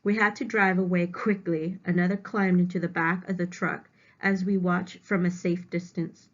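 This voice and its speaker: A woman's voice: fairly low pitch, level tone, moderate pace. A woman reading aloud as a teacher, stopping to explain words simply to young students. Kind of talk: read-aloud lesson with simple word explanations. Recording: dull, lo-fi home recording.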